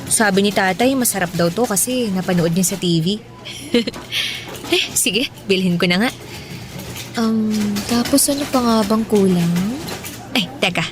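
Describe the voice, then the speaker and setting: An actress playing a wronged, outspoken young female office worker in Filipino radio drama, with an expressive, conversational delivery and a Filipino accent. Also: mid-range voice, measured pace, long pauses, noisy background, close to an everyday voice. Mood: musing. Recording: background music under the voice.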